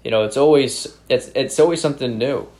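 A man from upstate New York with a New York accent, hosting a podcast in a drawn-out, enthusiastic way. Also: medium pitch, a little mellow, slight background noise. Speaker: podcast host. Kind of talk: casual podcast chat.

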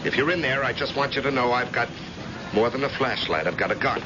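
An actor playing a steady, protective man facing danger in an old-time radio drama, with a gravelly voice. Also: medium pitch, fairly quick, close to an everyday voice. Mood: tense, alarmed. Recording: dull, noisy old radio recording.

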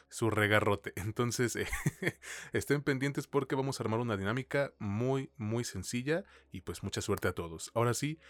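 A man with a Mexican accent and a fairly low voice hosts a casual film podcast. His delivery is informal and full of slang, and the recording is dry, with no room echo. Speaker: podcast host.

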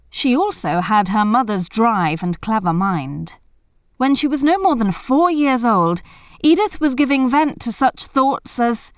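Smooth, high-voiced woman narrator. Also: dry recording, clean studio sound. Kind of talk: scripted biographical narration.

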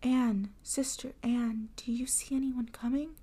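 A frightened young storybook wife who pleads and begs for time, sometimes dropping to a whisper, as a woman reader puts her on. Her voice sits high. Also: steady tone, a little slow. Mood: urgent whisper.

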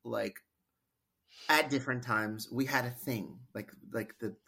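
Podcast guy, rambly self-mocking storyteller, bright voice. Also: mid-range voice, fairly even intonation, measured pace, vocal fry, breathy edge. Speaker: podcast co-host. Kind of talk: casual chat.

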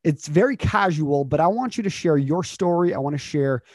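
A man's voice: fairly high pitch, lively intonation, normal speed, a little mellow, no room sound, clean studio sound. A man hosting an interview over a video call, encouraging his guest and often filling with "you know". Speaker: interview host, coach.

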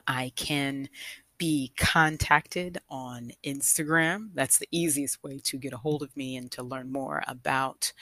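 A woman's voice: a bass voice, pushed and bright, no room sound. A woman telling her personal story to an audience as a guest storyteller, reflective and emphatic in her delivery. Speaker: guest storyteller, nonprofit founder.

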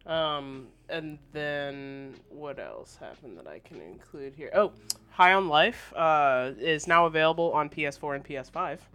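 Bright-voiced man chatting as podcast co-host. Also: a little high, expressive, unhurried. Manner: informal, slangy. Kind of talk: casual banter and news chat.

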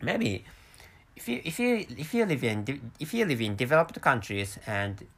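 A man's voice: medium pitch, big pitch swings, a bit bright. A man in his thirties from Japan with a Japanese accent, hosting a rambling solo podcast in English, his second language, with many halts and restarts. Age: 30s. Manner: halting, many restarts and repeated words. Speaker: podcast host.

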